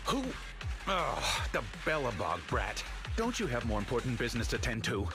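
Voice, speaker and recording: An actor voicing a smug, shifty middle-aged school principal in a video game, with a pompous, oily manner. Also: male voice, medium pitch, sing-song, music in the background. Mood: dismissive. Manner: oily, pompous, evasive, drawn-out mock courtesy. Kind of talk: English video game voice acting.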